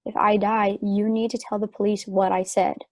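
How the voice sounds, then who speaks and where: A woman playing a sick, trusting wife in a stage play reading, wistful and gently earnest. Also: medium pitch, level tone, moderate pace, dry recording, close to an everyday voice. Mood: weak, worried.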